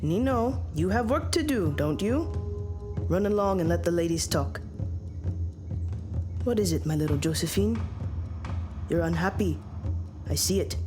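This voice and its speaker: An actress playing a motherly, watchful older island matriarch who speaks in a measured, gently commanding way in an audio drama. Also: on the low side, animated. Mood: warm, knowing. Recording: music under the dialogue.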